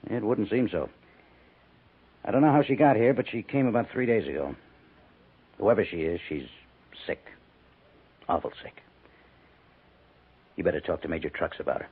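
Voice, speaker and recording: An actor playing a solicitous, careful army major at a frontier fort in a 1950s radio western. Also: male voice, on the low side, normal speed, pauses often, breathy edge, narrow, tinny audio. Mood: careful, grave. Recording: thin, band-limited 1950s radio recording.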